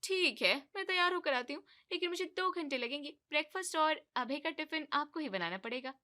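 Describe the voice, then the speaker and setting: A cheeky young daughter-in-law from a cartoon tale, breezy and a bit high, talking back with easy confidence, as a woman plays her. Her voice is bright and crisp, with English words dropped into casual talk. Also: expressive, brisk.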